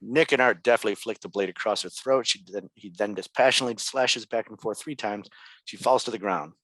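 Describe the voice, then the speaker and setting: A man narrates stage directions from a script. His voice is on the low side. The sound is dry, with little room in it. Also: brisk, fairly bright tone, no echo, low-quality recording.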